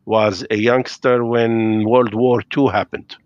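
A man's voice: medium pitch, flat delivery, slow pace, dry recording, highs cut off. A middle-aged man from Lebanon, speaking thoughtfully with hesitations as a guest in a remote interview from home. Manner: thoughtful, hesitant with fillers, slightly non-native phrasing. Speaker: podcast guest. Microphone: video call.

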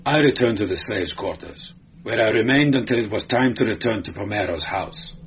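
A man reads as a bitter slave charioteer in an adventure audiobook. He answers sullenly and plainly in a lowish voice, over some background noise. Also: animated, moderate pace, highs cut off, natural voice. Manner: sullen, matter-of-fact.

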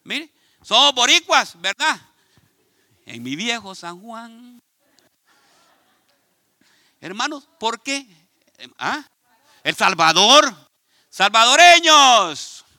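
Male pastor, Ecuadorian accent, high voice, very bright and forceful, dry close sound, lively preaching. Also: extremely slow, long pauses, no echo. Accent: Ecuadorian Spanish. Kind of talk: sermon. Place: church.

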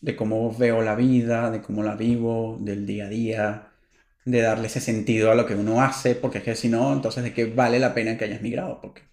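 A man's voice: fairly low pitch, flat delivery, fairly quick, darkish tone. Venezuelan man chatting in an echoey room. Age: 30s. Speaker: podcast co-host. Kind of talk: casual chat.

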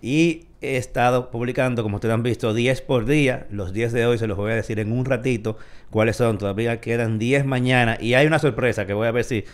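A man hosts a live tech show in a chatty, rambling way, filling the pauses with "eh". His voice sits at an ordinary middle pitch. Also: steady tone. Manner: chatty, rambling, with many fillers. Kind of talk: casual live show talk.

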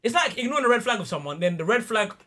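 A man's voice: sits high, rapid-fire, a little hiss or hum. A man, co-hosting a casual podcast chat, talking animatedly over his friends. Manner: animated, talks over others, swears. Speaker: podcast co-host.

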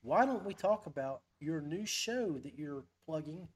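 Higher-voiced man hosting a folksy chat. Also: lively intonation, measured pace, husky. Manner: folksy, chummy. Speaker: podcast host. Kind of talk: casual live-stream chat.

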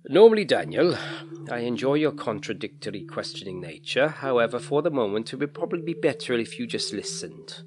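A man voicing a calm, mysterious history teacher in an audiobook story, speaking in a soothing, kindly, patient way. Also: medium pitch, a little hiss or hum, close to an everyday voice. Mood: patient explaining. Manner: soothing, measured, kindly explaining.

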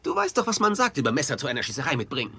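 An actor playing an eccentric, overconfident detective in a dubbed TV comedy, theatrical and rambling, with dramatic pauses. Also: male voice, mid-range voice, huge pitch swings, gravelly, bright, forceful tone, slight background noise, full character voice. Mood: confident, eager. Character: eccentric, excitable, overconfident male holistic detective. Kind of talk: German-dubbed TV comedy acting.

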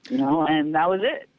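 A frank, lively woman in middle age tells candid stories with a neutral, unaccented delivery. Her voice comes through a narrow, tinny phone line. The sound is dry, with no room around it. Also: deep voice, dry recording. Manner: frank, lively, laughs easily. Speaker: podcast guest. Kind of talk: candid storytelling.

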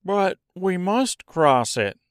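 Storybook scarecrow acted by a man: raised, lilting pitch, emphatic, courteous yet determined. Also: very animated, slow pace, dry recording, studio quality, heavily acted. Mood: insistent. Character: living male scarecrow, earnest, polite but determined.

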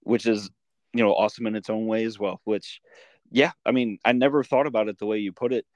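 A man's voice: fairly low pitch, fairly even intonation, brisk, no room sound. A man hosting a podcast, chatting casually in an interview. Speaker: podcast host and comic maker.

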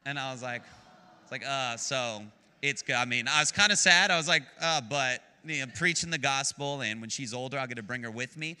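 A man's voice: medium pitch, brisk, pushed and bright, clear, resonant tone. A man in his late twenties with an American accent, preaching as a guest pastor in an enthusiastic, chatty way with jokes for the congregation. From United States. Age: 20s. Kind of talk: sermon. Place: church sanctuary. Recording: long church-hall reverb.

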